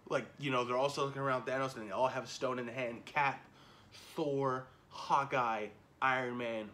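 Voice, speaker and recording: A man in his thirties chatting casually and excitedly to camera, slangy and repeating himself for emphasis, as a reaction video host. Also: mid-range voice. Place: small indoor room at home. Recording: noticeable background noise, home camera recording.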